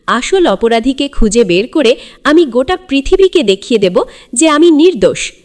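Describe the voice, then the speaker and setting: A woman voicing an excited, determined little boy detective in a children's cartoon story, with a proud, urgent, exclamatory delivery. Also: sits high, moderate pace. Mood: determined vow.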